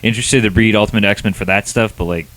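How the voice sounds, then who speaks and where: A man with a fairly low voice chats as a co-host. He is blunt and slangy, giving opinions in a loose, conversational way. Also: steady tone, fast, a bit bright, a little hiss or hum. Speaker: podcast co-host. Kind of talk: casual chat, opinionated review.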